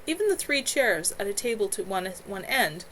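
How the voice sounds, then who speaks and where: A woman's voice: mid-range voice, a little hiss or hum. Woman author reading her novel aloud. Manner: steady, measured narration.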